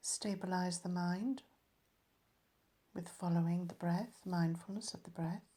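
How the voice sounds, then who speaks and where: Slow, calm woman guiding meditation. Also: on the low side. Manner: calm, measured, soft phrase endings. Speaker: meditation teacher. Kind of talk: guided meditation narration.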